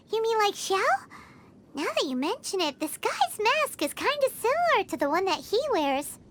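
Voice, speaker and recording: A woman's voice: extremely high pitch, fairly bright tone, a little hiss or hum, heavily acted. A woman voicing a tiny, chirpy female fairy companion who talks about herself in the third person. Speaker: companion character.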